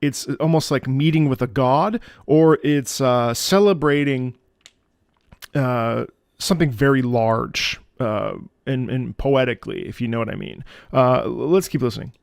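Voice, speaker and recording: A man who is an opera singer giving reaction commentary on game music, halting and thoughtful as he searches for words. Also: medium pitch, gravelly, clean tone, no room sound, clean studio sound. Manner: breaks into sung demonstrations of musical phrases.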